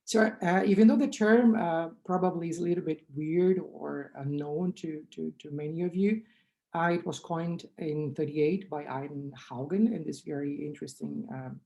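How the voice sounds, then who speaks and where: A hoarse, gravelly middle-aged man speaking English with a Brazilian accent, his tone dark and mellow. He lectures at a conference through a webcam in a small room, restarting phrases and asking "right?" as he moves through his slides. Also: fairly high pitch, moderate pace. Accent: Brazilian Portuguese. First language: Brazilian Portuguese. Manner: restarts and self-corrections, frequent "right?". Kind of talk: academic lecture over slides. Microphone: webcam on a video call.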